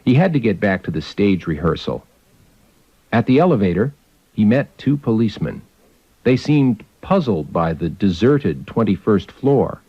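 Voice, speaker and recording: Husky, lively low-voiced male narrator. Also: moderate pace, dry recording, lo-fi sound. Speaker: documentary narrator. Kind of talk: scripted radio history narration.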